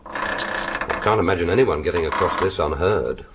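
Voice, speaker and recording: An actor in a radio drama playing a cool, wry British secret agent with a dry, understated delivery. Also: male voice, low-pitched, moderate pace, lightly acted. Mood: curious. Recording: background noise behind the voice.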